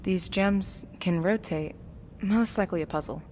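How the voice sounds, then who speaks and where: A woman playing a practical, level-headed young explorer in an audio drama, matter-of-fact and measured, in a reverberant space. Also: mid-range voice, darkish tone, natural voice. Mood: focused. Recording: long cave-like reverb, faint noise.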